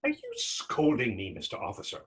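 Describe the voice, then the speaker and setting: A man's voice: mid-range voice, wildly swooping pitch, normal speed, a bit bright, slightly rough, exaggerated voice. A man in a readers theater drama playing a defiant young Irish street vagrant, taunting and sarcastic, with an Irish accent. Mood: sly, sarcastic. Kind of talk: readers theater stage acting.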